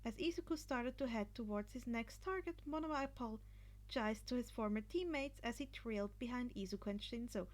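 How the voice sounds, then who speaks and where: A woman's voice: a little high, a little fast. A woman reading fan fiction aloud as an amateur narrator of a scripted story.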